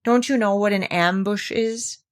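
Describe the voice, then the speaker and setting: A woman's voice: medium pitch, unhurried, no echo, clean studio sound, close to an everyday voice. A pompous owl who loves to explain things, put on by a woman reading aloud. He talks down to the others in a superior, lecturing tone.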